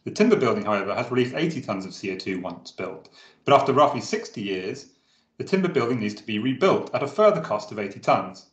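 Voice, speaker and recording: A man narrates a prepared talk in a measured, steady way. His voice sits at a middle pitch. The room around him adds a clear echo. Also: lo-fi sound. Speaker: presenter. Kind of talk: scripted narration over drawings. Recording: roomy echo.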